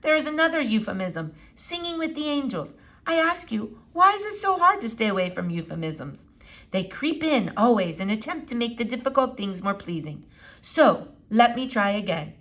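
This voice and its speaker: A woman voicing an elderly foreign doctor of philosophy from a children's book, gentle and rambling, with formal, quaint phrasing. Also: fairly high pitch, big pitch swings, normal speed. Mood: wistful, rambling. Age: older. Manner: formal, quaint syntax, digressive, soothing. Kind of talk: children's book read-aloud.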